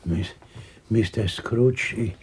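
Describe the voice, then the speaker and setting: An actor playing a timid, bewildered clerk in a 1960s radio drama, hesitant, stammering and deferential. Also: male voice, on the low side, takes it slowly, darkish tone. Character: meek, timid, bewildered male office clerk. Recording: faintly noisy 1960s radio recording.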